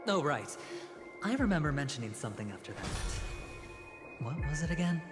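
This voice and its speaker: A suave, theatrical gambler with swooping delivery. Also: male voice, a little high, big pitch swings, moderate pace, music in the background. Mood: playful, ominous. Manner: theatrical, smooth, teasing. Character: suave, theatrical gambler dealmaker, calculating young man. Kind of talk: video game voice acting.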